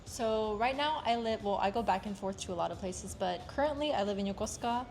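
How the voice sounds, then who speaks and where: A woman's voice: mid-range voice, a little fast, a little hiss or hum. A young American woman answering interview questions casually, with many fillers and hesitant phrasing. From United States. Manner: soft-spoken, filler-heavy, hesitant phrasing. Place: outdoors on a street in Japan.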